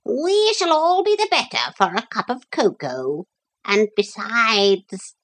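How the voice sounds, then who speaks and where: A woman's voice: fairly high pitch, big pitch swings, takes it slowly, a bit bright, voice pushed up, heavily acted. A woman voicing a knowing, impatient, proud talking parrot in a storybook, with prim, emphatic delivery. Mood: knowing, advising. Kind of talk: storybook character voice.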